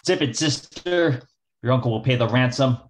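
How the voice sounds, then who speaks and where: A man's voice: mid-range voice, moderate pace, lightly acted. A man playing a tough, slangy 1940s kidnapper in an old-time radio crime drama, with a menacing hard-boiled delivery. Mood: threatening.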